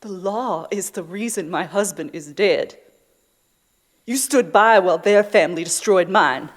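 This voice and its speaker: Actress as grieving Old West widow, lowish voice, bitter, cold, resolute, audio drama. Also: female voice, unhurried. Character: bitter, grieving young widow bent on vengeance. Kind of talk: Western audio drama acting.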